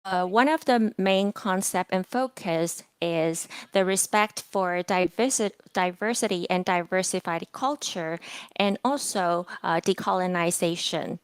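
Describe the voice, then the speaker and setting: A woman hosting a lecture event, introducing it formally in a careful, halting way with fillers and restarts. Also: on the low side, clean tone, dry recording. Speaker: event host.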